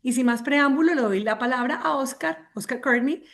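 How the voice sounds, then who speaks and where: A woman moderates a webinar, her voice a little high. She speaks in an earnest, measured way as she hands over to the next speaker. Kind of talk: webinar opening remarks.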